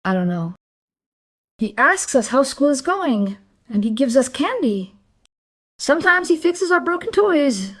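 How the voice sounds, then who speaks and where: A woman's voice: high voice, big pitch swings, unhurried, pauses often. A woman playing a frank, cheeky young schoolgirl in an audio drama, speaking bluntly.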